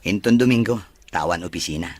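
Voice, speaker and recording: A man's voice: low-pitched, fast, no room sound. A man with an Ilocano Filipino accent playing a coaxing, evasive cheating husband in a radio drama. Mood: flirtatious.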